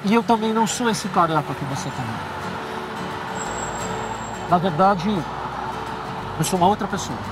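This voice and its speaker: A middle-aged man talks slowly and earnestly as a TV host, building up to a surprise. He is outdoors at a street bus stop, and music plays under his voice. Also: high-pitched. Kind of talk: emotional reveal speech. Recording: TV segment with music behind.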